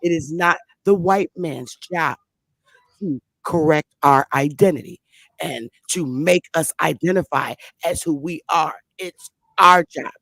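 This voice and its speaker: A woman's voice: deep voice, a little slow, a bit bright, no room sound. A woman hosting a casual online group discussion, who talks emphatically and repeats her points for stress. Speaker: host.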